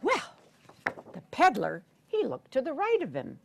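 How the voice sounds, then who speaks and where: Older female children's storyteller, high-pitched and swooping, theatrical, with deliberate drawn-out phrasing. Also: wildly swooping pitch, gravelly, a little hiss or hum. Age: 60s or older. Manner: theatrical, deliberate, with drawn-out words and questions to the listeners. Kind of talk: animated read-aloud storytelling to young children. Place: children's story room in a public library.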